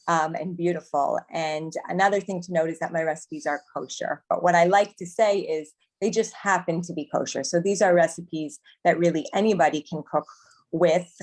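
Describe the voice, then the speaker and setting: A woman from Canada speaks as an interview guest in a low-pitched voice. She answers conversationally, as someone who teaches and writes for a living. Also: darkish tone. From Montreal, Canada. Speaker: cooking teacher and cookbook author.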